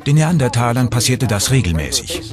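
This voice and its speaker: A man's voice: mid-range voice, big pitch swings, fairly quick, over music. A man giving explanatory expert commentary as a documentary voice-over.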